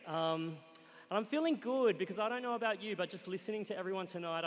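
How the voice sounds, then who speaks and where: A man with an Australian accent telling a comic personal story on stage, wry and playful, with deadpan punchlines. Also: high voice. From Australia, Sunshine Coast, Queensland. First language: Cantonese. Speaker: guest storyteller. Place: stage in a live event hall with an audience. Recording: reverberant live hall.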